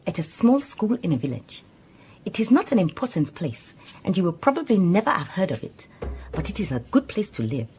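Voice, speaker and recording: Proper woman secretary, radio drama, Southern African accent, lowish mellow voice, careful, deferential. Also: breathy edge, heavily acted. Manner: formal, careful, precise. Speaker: supporting actor in a radio drama.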